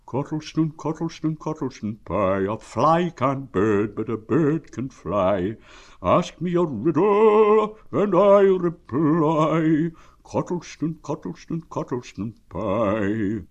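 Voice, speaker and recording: A man's voice: sits high, huge pitch swings, extremely slow, a little mellow, highs cut off, voice pushed up, heavily acted. A man voicing a friendly, simple-minded storybook bear, earnest and a little puzzled. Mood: simple, earnest reciting.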